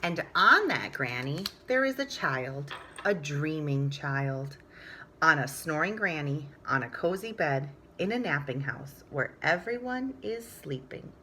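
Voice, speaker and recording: A woman with a low-pitched voice reads a picture book to young children as their teacher. Her delivery is sing-song and drawn out, dropping into hushed moments, with simple explanatory asides. A light layer of background noise sits behind her. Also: expressive, measured pace.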